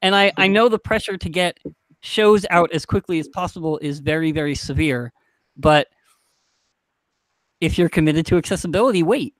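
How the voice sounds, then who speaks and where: A man talking casually on a podcast panel, halting and restarting his thoughts as he goes. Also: fairly high pitch, no echo, poor audio.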